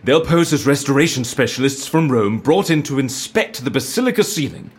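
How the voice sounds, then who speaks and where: Animated, theatrical British gentleman schemer. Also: medium pitch, a little fast, husky. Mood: scheming, cheery. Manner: theatrical, playful. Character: jovial, eccentric, inquisitive gentleman schemer who loves bubbly. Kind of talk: audio drama acting.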